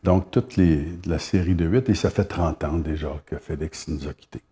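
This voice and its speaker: Deep, mellow older Québécois man. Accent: Québécois French. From Gaspé Peninsula, Quebec. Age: 70s. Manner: unhurried, reminiscing, many hesitations. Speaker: singer-songwriter guest. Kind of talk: casual interview chat.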